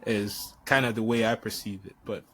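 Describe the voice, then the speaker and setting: A man's voice: on the low side, fairly even intonation, normal speed, pushed and bright. A man who grew up in Nigeria, hosting a podcast interview and speaking hesitantly, often repeating words as he thinks. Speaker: podcast host. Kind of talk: conversational interview.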